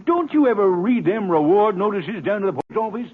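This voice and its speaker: An actor playing a folksy, blustering small-town banker with a rural Western American drawl in an old radio western. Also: male voice, high voice, lively intonation, fairly quick. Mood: exclaiming. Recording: tinny vintage radio broadcast recording.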